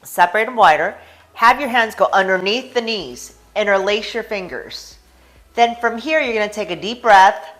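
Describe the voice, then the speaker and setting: An American woman chiropractor talking through a stretching routine, calmly guiding breaths and holds. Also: medium pitch, normal speed. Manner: calm, guiding with breathing cues. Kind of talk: instructional stretching demonstration.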